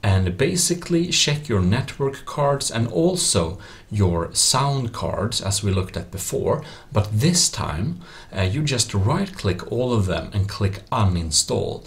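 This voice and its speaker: A man's voice: on the low side, sing-song, slow pace. A man with non-native English phrasing, narrating a computer tutorial in a casual, explanatory way. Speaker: tech tutorial presenter. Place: voice-over for a screen recording.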